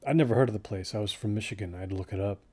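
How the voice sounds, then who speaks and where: A man acting as a young male hiker giving an interview in an audio drama. His voice is lowish and warm, with a soft dark tone. He speaks casually and reflectively, pausing as he remembers. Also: husky. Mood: reflective, subdued. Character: young male student hiker recalling an ill-fated trip. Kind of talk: naturalistic documentary interview acting.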